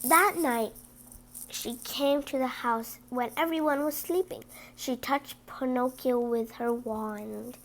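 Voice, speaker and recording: Woman, high voice, slow pace, children's read-aloud, emphatic storyteller. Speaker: storybook reader.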